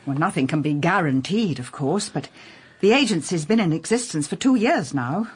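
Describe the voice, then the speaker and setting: A woman's voice: fairly low pitch, animated, moderate pace, husky. An older English actress in a radio drama, playing a seasoned woman detective who speaks politely and precisely. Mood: courteous, admiring. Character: seasoned, businesslike woman detective, courteous and shrewd. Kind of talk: BBC radio drama acting.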